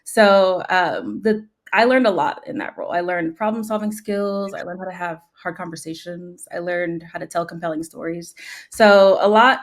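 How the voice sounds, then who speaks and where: A woman's voice: fairly low pitch, normal speed. A young woman from New York, a panel guest, telling her career story in a chatty, conversational way with many "um"s and "like"s. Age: young adult. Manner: warm, chatty storytelling with frequent "like" and "um".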